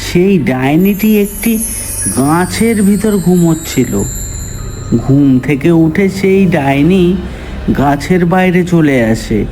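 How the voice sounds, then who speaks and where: A man's voice: fairly high pitch, slow pace, dark tone. Male cartoon storyteller over music. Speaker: narrator. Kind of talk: scripted cartoon story narration.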